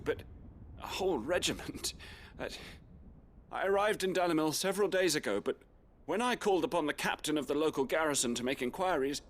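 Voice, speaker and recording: A man's voice: on the high side, fairly bright tone. An actor playing a polite male servant and agent in a fantasy video game, speaking in a courteous, formal manner. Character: polite, well-dressed male servant agent, composed scout.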